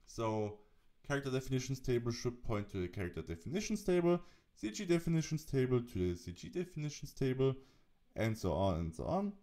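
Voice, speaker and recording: A man narrating an instructional software tutorial, explaining steps as the presenter. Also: medium pitch, sing-song, brisk.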